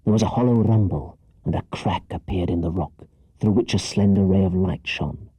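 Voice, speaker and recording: A man narrating a children's story as an audiobook reader, with dramatic, scripted delivery and a husky voice. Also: sits low, normal speed, mellow, no room sound, poor audio.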